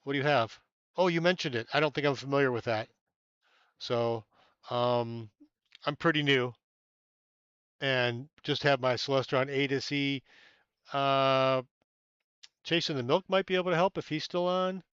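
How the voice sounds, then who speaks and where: A man's voice: medium pitch, measured pace, long pauses, a bit bright, clean tone, no room sound, muffled recording. A man hosting a casual amateur astronomy live stream from inside a small tent, chatting with viewers, thanking them by name, rambling with many fillers, and a little stuffy-nosed.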